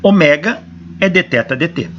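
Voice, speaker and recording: Hoarse Brazilian man lecturing. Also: a little high, expressive, moderate pace, a bit bright, slight background noise. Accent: Brazilian Portuguese. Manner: explains step by step, asks and answers his own questions. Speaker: engineering professor. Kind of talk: lecture working through equations. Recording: dull lo-fi recording.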